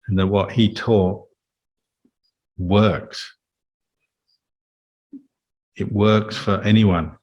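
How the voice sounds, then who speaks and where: Low, even-toned man guiding meditation. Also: breathy edge, dull audio. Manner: calm, measured, instructive. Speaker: Buddhist monk and meditation teacher. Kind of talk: guided meditation and Dhamma talk.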